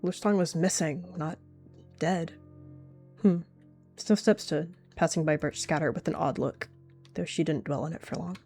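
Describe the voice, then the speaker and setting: Low-voiced woman narrating a roleplay. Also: normal speed, long pauses, dark tone, faint music behind. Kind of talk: storybook narration.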